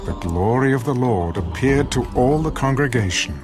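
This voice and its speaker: Casting: male narrator for audio scripture drama, mid-pitched, with music underneath. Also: lively intonation, moderate pace.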